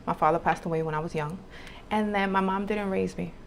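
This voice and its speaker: A woman's voice: medium pitch, steady tone, fairly quick, gravelly, a little hiss or hum. A woman from the Republic, telling personal stories casually and emphatically, mixing in Spanish. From Dominican Republic, later New York City. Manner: informal, emphatic repetition, mixes in Spanish. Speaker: podcast guest, spiritual entrepreneur. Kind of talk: candid personal storytelling, casual.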